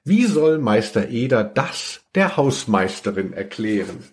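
A man's voice: mid-range voice, very animated, unhurried. A man co-hosting a podcast in casual chat, rambling along with wry humour. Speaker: podcast host.

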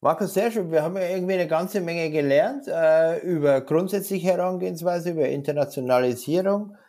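Mann mit weicher, dunkler Stimme als Interviewer. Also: male voice, a little high, fairly quick, mellow. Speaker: interviewer, podcast host. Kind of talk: casual interview chat.

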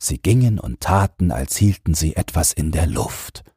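A man's voice: extremely low pitch, big pitch swings, normal speed, warm tone, slightly rough, no echo, studio quality. A man narrating a fairy tale as a bedtime audiobook, reading from a script.

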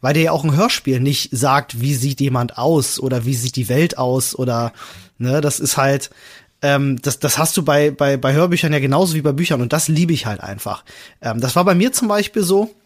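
A man's voice: mid-range voice, moderate pace. A man co-hosting a casual podcast, talking in a wordy way with many digressions and hesitations. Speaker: podcast co-host.

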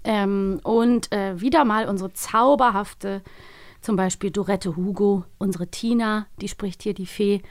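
A woman co-hosting a casual podcast about audio dramas, explaining things in an animated way. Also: mid-range voice, measured pace, no echo, clean studio sound. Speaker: podcast co-host.

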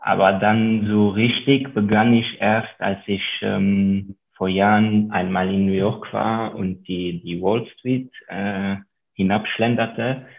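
A man's voice: fairly low pitch, steady tone, slow. A man in his thirties from Luxembourg with a French accent, a guest on a podcast interview, talking hesitantly with many ums. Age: 30s. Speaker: guest investor and author. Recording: tinny phone-like line.

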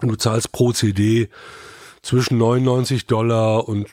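A man's voice: medium pitch, little pitch movement, moderate pace, a little mellow, no room sound. Man explaining casually in a dry recording. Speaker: podcast regular, sound specialist.

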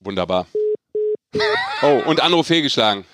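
A man's voice: mid-range voice, lively intonation, normal speed, a bit bright, no room sound. Casual male podcast host, dry sound. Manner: informal, bantering. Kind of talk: casual sports podcast chat.

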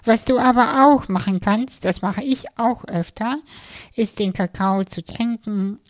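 Slow, gentle-spoken woman. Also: on the high side, dry recording, studio quality. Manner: slow, soft and gentle, with hesitant fillers. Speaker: podcast host. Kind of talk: calm spoken intro and casual interview.

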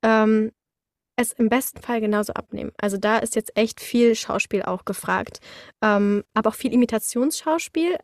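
A woman's voice: a little high, normal speed, no room sound, clean studio sound. A woman explaining her work as a voice actor in casual conversation, acting out little moments with sound words as she goes. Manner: animated storytelling with little acted-out sound words. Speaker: voice actor, interview guest.

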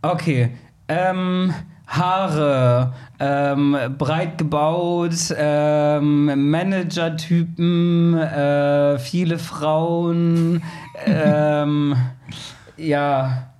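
Male guest for casual chat show, joking manner, slow delivery, a little higher-voiced than average. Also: steady tone, a little mellow. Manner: joking, informal. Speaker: podcast guest, psychologist.

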